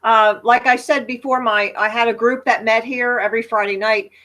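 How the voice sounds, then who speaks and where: An American woman with a loud voice that carries, chatting casually and telling anecdotes with emphasis on an online panel. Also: on the high side, fairly even intonation, moderate pace. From United States. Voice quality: loud, projecting voice. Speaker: panel guest.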